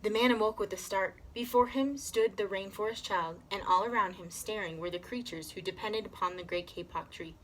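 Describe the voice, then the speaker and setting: A woman's voice: medium pitch, fairly quick, hardly any pauses, a bit bright, poor audio. A woman reading a children's story aloud expressively, as a teacher does. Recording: clear background noise.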